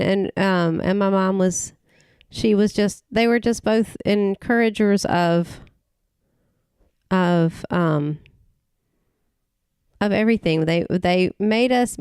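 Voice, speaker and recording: A middle-aged woman from Tennessee, a podcast guest recalling her family in casual conversation, halting often and restarting her sentences. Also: medium pitch, darkish tone, smooth, no room sound, clean studio sound. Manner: rambling, halting with many restarts and fillers.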